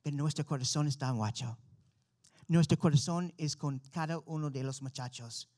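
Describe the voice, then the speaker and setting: A man's voice: a little high, normal speed, warm tone. An American man, a native English speaker, giving an earnest missionary testimony and sermon to a church with an American accent. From Florida, United States. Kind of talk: testimony and preaching to a congregation.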